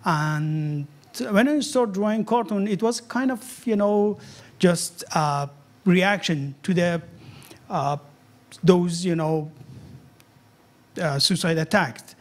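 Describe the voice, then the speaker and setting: A man in his thirties from Afghanistan, speaking English as a with many pauses and fillers, interviewed on stage about his cartoons. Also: high-pitched. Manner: hesitant non-native English with many fillers. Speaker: cartoonist guest. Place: event hall on stage. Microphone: handheld mic held loosely.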